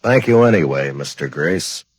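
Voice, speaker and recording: A man playing a stern, protective Old West marshal in a 1950s radio western, speaking in a measured, plain-spoken way. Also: a bass voice, animated, slightly rough, heavily acted. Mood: reserved, polite.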